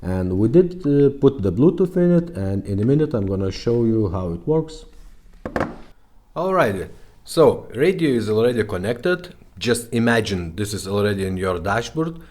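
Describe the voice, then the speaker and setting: A man's voice: on the low side, sing-song, moderate pace, darkish tone. A man giving a casual, informal product demo, often checking in with short tag questions as he explains. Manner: informal, checks in with "okay?" and "right?". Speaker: presenter and car radio retrofitter.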